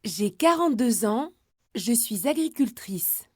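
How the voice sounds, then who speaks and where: A woman speaking a short, slow, carefully clear line on a language textbook recording. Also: a little high, sing-song, a little mellow, no echo, clean studio sound, heavily acted.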